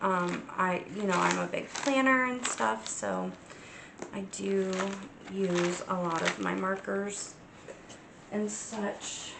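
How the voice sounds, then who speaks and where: A woman with a cold chats slowly through her crafting vlog, stuffy and sniffling. Her voice has a gravelly, fried edge. She keeps stopping on ums and starting her sentences again, with a faint room hum underneath. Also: mid-range voice, fairly even intonation, reverberant. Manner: unhurried, many ums and restarts. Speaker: crafting channel host. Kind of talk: casual show-and-tell vlog.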